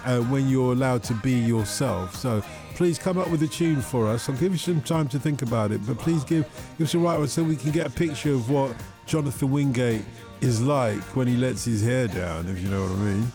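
A man's voice: medium pitch, a little fast, hardly any pauses. A man with a Liverpool accent hosting a radio music show, bantering casually in a playful, matey way. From Liverpool, England. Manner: playful, chummy, calls people mate.

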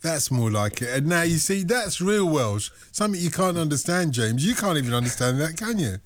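A man hosting a late-night radio phone-in, teasing his callers and his guest with a jokey, quick-talking manner. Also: fairly high pitch, very animated, a little hiss or hum. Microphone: studio microphone.

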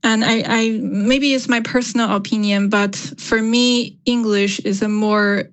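A woman's voice: fairly high pitch, fairly even intonation, measured pace. Young woman, Chinese first language. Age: young adult. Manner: thoughtful, with many fillers. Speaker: novelist guest. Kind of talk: radio interview.

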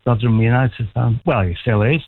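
A sixty-year-old man from Manchester calling in to a radio show, chatting warmly and rambling a little. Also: mid-range voice, brisk, no room sound. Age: 60s. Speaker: caller. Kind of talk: casual phone-in chat. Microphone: telephone. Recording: tinny phone line.

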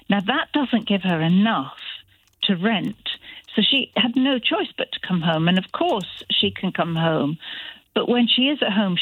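A woman's voice: medium pitch, no echo. A middle-aged woman, a mother of grown children, calling in to chat on a radio phone-in, talking thoughtfully with many restarts. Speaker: caller. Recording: tinny phone line.

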